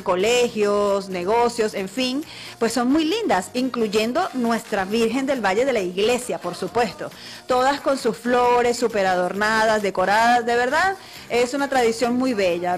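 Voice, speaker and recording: A middle-aged Venezuelan woman hosting a radio show, talking in a chatty, upbeat way to her listeners. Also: on the high side. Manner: warm, chatty, listing names quickly. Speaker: radio host. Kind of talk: casual radio talk.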